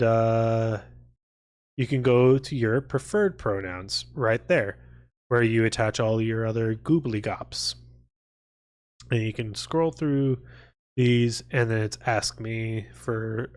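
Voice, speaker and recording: A man hosting a casual livestream, rambling along and swearing freely. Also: medium pitch. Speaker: livestream host.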